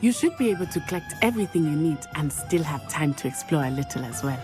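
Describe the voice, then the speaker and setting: An actress playing a polite, eager young witch in a video game, speaking formally and precisely with bright exclamations. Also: sits low, expressive, fast talker, music in the background. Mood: friendly. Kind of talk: video game cutscene acting.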